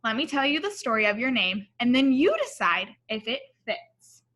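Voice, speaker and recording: A loving storybook father, read by a woman in a bright voice, fairly high. The delivery is measured and gentle, as a parent tells family history. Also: sing-song. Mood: fond, reflective.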